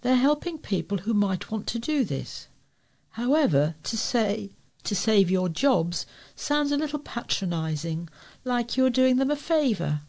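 A woman's voice: a little high, very animated, a little slow, darkish tone. A woman with a British accent reading letters and articles aloud as a volunteer newsreader. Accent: British English.

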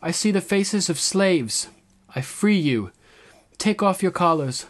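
A man's voice: high-pitched, lively intonation, slow, voice pushed up. A man voicing a resolute, regal young woman queen in a solemn, declamatory audiobook performance. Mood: resolute, proclaiming. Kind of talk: audiobook character acting.

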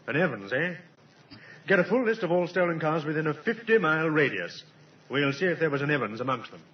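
An actor playing a shrewd, authoritative police inspector in an old-time radio drama, clipped in manner, on a vintage recording. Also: male voice, a little high, big pitch swings, brisk, lo-fi sound, noisy background.

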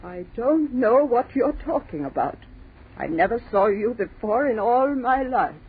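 High-voiced haughty old woman, secretly inhuman. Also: lively intonation, a little slow. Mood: cold, haughty. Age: older. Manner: haughty, feigning ignorance. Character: cold, sly old aunt, secretly a cat creature with claws. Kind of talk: 1940s radio horror acting. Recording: muffled, noisy 1940s radio recording.

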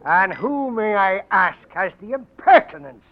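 Shrill Irish flatterer, old radio. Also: male voice, a little slow, exaggerated voice. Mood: scornful, blustering. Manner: blustering, flattering, theatrical. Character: wheedling, boastful little male Irish drinking crony. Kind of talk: 1940s radio acting. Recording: muffled, noisy 1940s radio broadcast.

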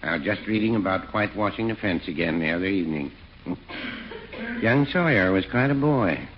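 An American actor playing a mild, hesitant, gently contrary small-town druggist with a droll, understated manner in 1940s radio comedy. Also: male voice, low-pitched, lively intonation, fairly quick. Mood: mild, amiable. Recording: muffled, noisy 1940s radio recording.

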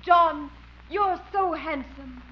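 A woman's voice: high-pitched, takes it slowly. An actress in 1950s radio comedy playing a coy, flirtatious, gushing woman who coos her endearments. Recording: muffled 1950s radio recording.

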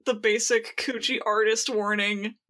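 A woman co-hosting a podcast in casual fan chat, talking in an excitable, playfully sweary way. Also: fairly high pitch, a little slow. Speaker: podcast co-host.

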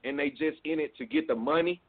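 A man's voice: on the high side, fairly quick. A man, a music label guest, talking casually and often saying "you know what I'm saying". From Mississippi. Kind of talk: casual phone interview. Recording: tinny phone line.

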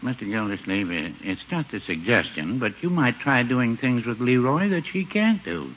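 An actor playing a mild, gently contrary old small-town man in a 1950s radio comedy, dry, folksy and hesitant. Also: mid-range voice, sing-song, moderate pace, lightly acted. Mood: mildly teasing. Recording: dull, noisy 1950s radio recording.

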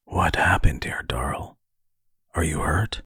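Male narrator as solemn elf mystic, low breathy hushed voice, slow and reverent, fantasy audiobook. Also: no room sound, studio quality, heavily acted. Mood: hushed concern. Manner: hushed, grave, distant, reverential. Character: smaller elven halfkin brother, solemn, reverent, devout mystic.

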